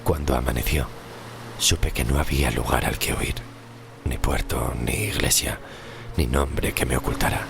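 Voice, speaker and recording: An actor playing a weary, tormented sixteenth-century shipwrecked sailor, narrating a horror audio drama in a grave, slow, literary way with a gravelly, very deep voice. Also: male voice, steady tone. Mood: bleak, resigned. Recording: music under the voice.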